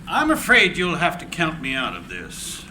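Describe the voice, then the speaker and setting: A man's voice: a little high, wildly swooping pitch, moderate pace, pushed and bright, exaggerated voice. A man playing an apologetic, evasive businessman, polite but firm, in a dramatic screenplay reading. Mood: apologetic, dismissive. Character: evasive, apologetic male businessman brushing off a petitioner. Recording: noisy live room recording.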